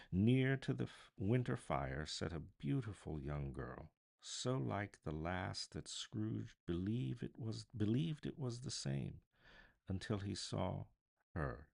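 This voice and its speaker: A man reading a classic story aloud as a voice artist, with theatrical, expressive narration. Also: low voice, vocal fry. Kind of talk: dramatic live audiobook narration of a classic novel.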